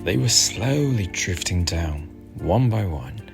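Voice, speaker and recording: A man softly narrating a soothing ASMR bedtime story in a gentle, unhurried, hushed manner over music. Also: deep voice, animated, vocal fry. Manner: gentle, hushed, unhurried, at times near a whisper. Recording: music behind the voice.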